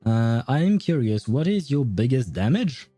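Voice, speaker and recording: Mellow male game streamer, casual chat. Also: mid-range voice, very animated, moderate pace, dry recording, soft background music. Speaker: game livestreamer. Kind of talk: casual livestream chat, answering viewers.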